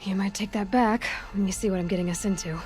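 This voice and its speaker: A woman's voice: mid-range voice, fairly even intonation, fast, lightly acted. An actress playing a resolute young female huntress in a video game, plain-spoken and self-assured. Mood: wry, thoughtful. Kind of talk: naturalistic video game acting. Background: music.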